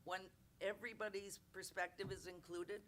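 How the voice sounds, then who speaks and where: A woman's voice: mid-range voice, steady tone, moderate pace, fairly bright tone. A woman making blunt, emphatic remarks at a press conference, with self-deprecating asides.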